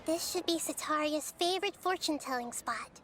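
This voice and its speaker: A woman voicing a small, wise, gentle girl deity in a video game, speaking in a measured, thoughtful way. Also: high voice, noisy background, lightly acted. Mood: composed, resolute.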